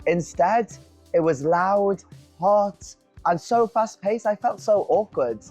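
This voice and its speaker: A woman hosts from an open-air food centre, her voice sitting at a middling pitch. She tells her stories candidly and laughs at herself. Soft music plays faintly behind her. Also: lively intonation, slow pace. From North Wales. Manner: candid, self-deprecating storytelling. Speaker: host. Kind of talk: scripted conversational presenting.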